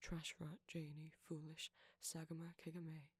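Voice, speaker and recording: A man's voice: on the high side, monotone, unhurried, husky, dry recording. A man, an audio creator, giving a scripted outro with thanks and plugs for listeners.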